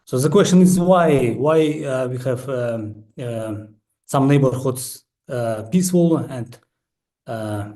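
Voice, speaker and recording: Male researcher, non-native English speaker, mellow relaxed tone at medium pitch, hesitant stop-start delivery of an academic talk. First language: not English. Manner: halting, frequent hesitations and pauses. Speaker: researcher and book author presenting his study. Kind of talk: academic presentation with slides.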